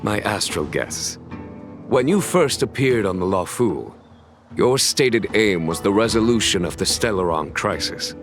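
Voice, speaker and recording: A stately general from a fantasy game, with a very deep voice. He speaks slowly and formally, composed and eloquent, over clear background music. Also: lively intonation. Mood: courteous. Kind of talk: dramatic scripted game dialogue.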